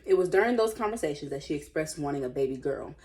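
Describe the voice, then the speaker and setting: A woman reading aloud and commenting casually, with an informal, opinionated, slangy way of musing out loud. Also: low voice, animated, fast talker. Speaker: host reading and commenting on online stories.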